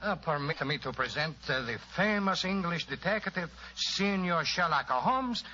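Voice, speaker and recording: Effusive Italian inspector, swooping delivery. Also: male voice, a little high, animated, unhurried, bright, forceful tone. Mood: fond, excited. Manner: formal, florid, hesitant politeness. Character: courtly, effusive Italian police inspector, opera lover. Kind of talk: old-time radio drama acting. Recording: muffled old radio recording, faint noise.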